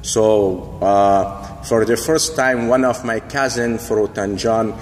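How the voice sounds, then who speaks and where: A deep-voiced man from Afghanistan talks slowly into a phone held up in a gym mirror. He hesitates often, fills gaps with "uh", and his English carries phrasing. The big gym room adds a long reverb and a faint hum behind him. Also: fairly even intonation. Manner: hesitant, many fillers, non-native phrasing. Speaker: boxing coach. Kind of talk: casual personal storytelling to camera. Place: large indoor gym. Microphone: phone held in mirror selfie.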